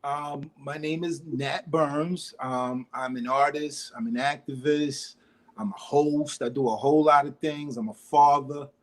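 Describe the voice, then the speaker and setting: A man's voice: fairly high pitch, steady tone, unhurried. A man in his forties, a guest and co-host in a casual live chat, laid-back and playful. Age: 40s.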